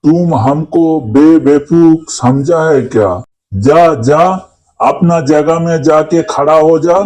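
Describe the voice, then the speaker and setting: A man's voice: fairly high pitch, slow pace, a little mellow, slight background noise, low-quality recording. A man voicing an exasperated, sarcastic schoolteacher in a comedy cartoon, quizzing pupils with a mocking drawl. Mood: irritated.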